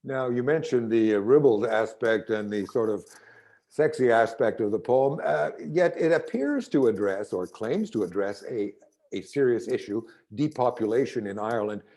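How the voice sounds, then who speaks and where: A man's voice: medium pitch, expressive, normal speed, warm tone. A man acting as interviewer, putting considered questions to a guest about literature.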